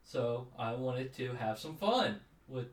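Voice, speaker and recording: A man's voice: medium pitch, animated, slow pace. A man co-hosting a podcast in casual chat, rambling and cynical. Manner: conversational, rambling, cynical asides. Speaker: podcast co-host. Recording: roomy sound, mic at a distance.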